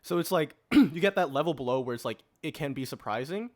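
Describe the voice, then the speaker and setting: A man's voice: a little high, animated, brisk, a little mellow. Casual young man hosting a podcast. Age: early twenties. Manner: informal, organizing the discussion. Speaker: podcast host.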